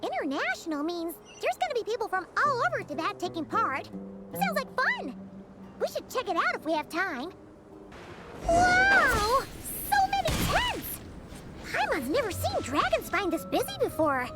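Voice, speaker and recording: A woman voicing a small, childlike fairy companion in a video game, squeaky, chirpy, curious and excitable. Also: a little slow, long pauses, over loud music, full character voice. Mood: excited. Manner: squeaky, excitable, refers to self in third person.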